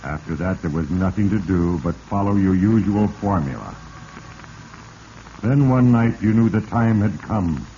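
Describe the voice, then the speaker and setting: An actor playing Fate, an all-knowing, stern spirit who narrates with deliberate accusation in a 1940s radio drama. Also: male voice, deep voice, animated, dark tone. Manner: deliberate, accusing second-person address. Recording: dull, noisy vintage radio recording.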